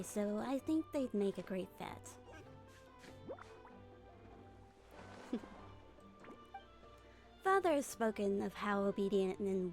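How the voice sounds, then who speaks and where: A woman voicing a composed, caring young female magician's assistant in a fan-dubbed game, measured and understated. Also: medium pitch, natural voice. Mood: matter-of-fact.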